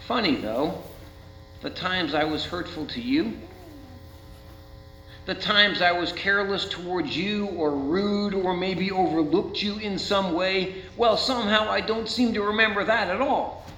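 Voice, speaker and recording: An American man preaching a sermon as a pastor, speaking in rhetorical questions with deliberate dramatic emphasis. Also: sits high, sharp tone. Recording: roomy, muffled, with faint mains hum.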